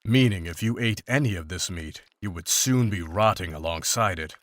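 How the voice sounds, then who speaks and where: An actor playing a grim, dry-witted monster hunter in a fantasy visual novel, speaking in a measured, formal, deadpan way. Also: male voice, sits low. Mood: dry, grave.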